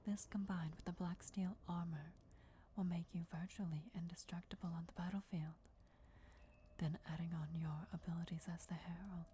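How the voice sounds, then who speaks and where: A woman's voice: lowish voice, fairly even intonation, mellow, a little hiss or hum, close to an everyday voice. Whispering ancient vampire countess, acted by a woman. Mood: solemn, proud. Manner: formal, deferential, measured whispering. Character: ancient female vampire countess, devoted herald's speaker, formal and reverent. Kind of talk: whispered fantasy ASMR roleplay.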